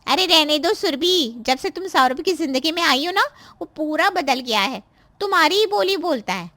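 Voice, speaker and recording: A woman's voice: sits high, animated, moderate pace, bright, forceful tone, no room sound. A woman playing a scheming, spiteful married daughter in a cartoon moral story, with a nagging, insinuating and complaining manner. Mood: scornful, taunting.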